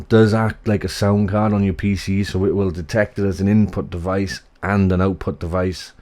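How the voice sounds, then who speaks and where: A man in his thirties with a bassy voice, giving a rambling, off-the-cuff gear review in a small home office, speaking into a desk mic on a boom arm. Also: darkish tone. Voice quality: deep, bassy voice. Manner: rambling, self-correcting, casual. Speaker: tech reviewer. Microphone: desk USB mic on boom arm.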